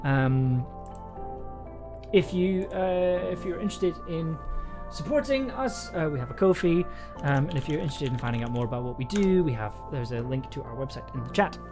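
A man's voice: fairly high pitch, lively intonation, normal speed, music in the background. A young man hosting a casual livestream, sounding tired, rambling and often interrupting himself as he thinks aloud. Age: young adult. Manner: rambling, hesitant, self-interrupting. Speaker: livestream host, audio drama maker. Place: small indoor room at a desk.

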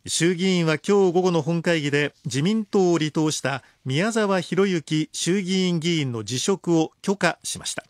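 High-voiced man reading radio news. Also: dry recording, clean studio sound. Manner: formal, measured, clearly articulated. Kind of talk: scripted news bulletin reading. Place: radio studio.

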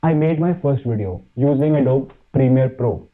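A man from India with an Indian accent, Hindi his first language, talking as a podcast guest in a halting way with many fillers and restarts. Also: mid-range voice. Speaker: podcast guest, fitness YouTuber. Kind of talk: casual chat. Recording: tinny phone-like line.

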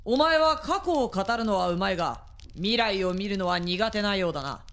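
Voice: mid-range voice, lively intonation, normal speed, a bit bright. An actor playing a cool, commanding young samurai general in a radio drama, speaking in terse, lordly lines. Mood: assured.

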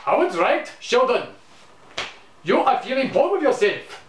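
A narrator voicing an exasperated, excitable young man in a homemade story reading. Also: mid-range voice, a little slow, echoey room, recorded from a distance, slight background noise, voice pushed up. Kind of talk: amateur fan-fiction story reading.

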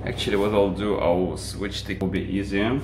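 A man in his forties giving a casual, unhurried how-to demonstration, with halting restarts, filmed on a phone at arm's length in a small laundry room. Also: low voice, steady tone. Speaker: home appliance video maker. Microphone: phone camera on selfie stick at arm's length. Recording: echoey room with washing machine noise.

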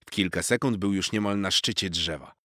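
A man's voice: low voice, brisk, sharp tone, no echo. A man narrating a children's story expressively from a script, close on the mic.

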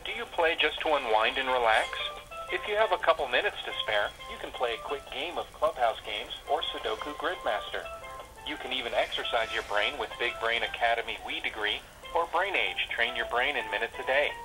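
A man's voice: medium pitch, animated, a little fast, low-quality recording. A man reading a scripted promotional on-hold message in an upbeat ad style. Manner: upbeat ad read. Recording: hold recording over phone with music.

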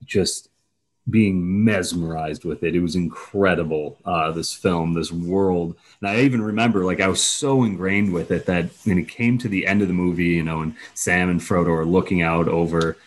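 Very deep-voiced man from Minnesota. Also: fairly even intonation, moderate pace. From Minnesota, United States. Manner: soft, reflective storytelling.

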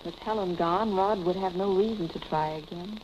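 An actress playing a composed, wary young wealthy wife, direct in manner, in a 1940s film. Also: female voice, on the low side, normal speed, muffled recording, natural voice. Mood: pensive, composed. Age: young adult. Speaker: film actor. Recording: noisy vintage film soundtrack.

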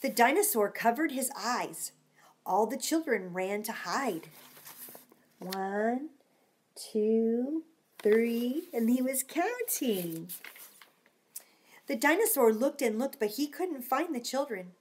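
Middle-aged female storytime reader, high voice, unhurried, encouraging and chatty with little listeners. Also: animated, darkish tone, slight background noise. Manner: encouraging, asking the listener simple questions. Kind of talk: read-aloud storytime with chatty asides to young children. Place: small home room, indoors.

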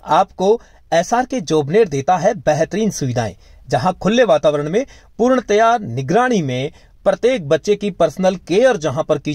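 A man's voice: a little high, a little mellow, no room sound. A man reading a persuasive, emphatic promotional voice-over for a school. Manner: emphatic, persuasive sales delivery. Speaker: advertisement narrator.

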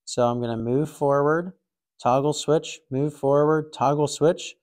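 A man hosting a coding tutorial, talking through a puzzle in a relaxed, thinking-aloud way with frequent fillers and restarts. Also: fairly high pitch, unhurried. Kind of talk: casual screen-recorded walkthrough.

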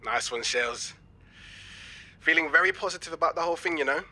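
A man's voice: a little high, fairly quick, sharp tone. An actor playing an enthusiastic young British man full of schemes, chatty and eager, in a naturalistic audio drama. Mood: pleased, confident. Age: young adult. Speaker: actor in a fiction podcast.

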